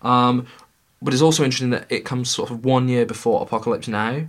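A man hosting a relaxed film podcast. He talks on and on, leaning on fillers like "uh" and "kind of" as he builds his points. His voice sits at an ordinary middle pitch. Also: quick pace. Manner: talkative, frequent fillers and hedges. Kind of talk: casual film review chat.

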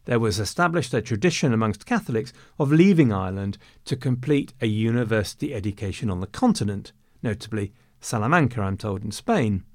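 A man's voice: on the low side, expressive, a little fast, no echo, studio quality. A man narrating history in a conversational way, with wry asides.

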